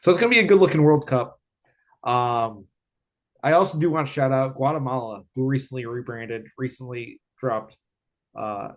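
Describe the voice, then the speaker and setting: A man hosting a podcast, chatting casually and upbeat with slangy phrasing, then signing off the show. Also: mid-range voice. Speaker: podcast host. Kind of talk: casual chat.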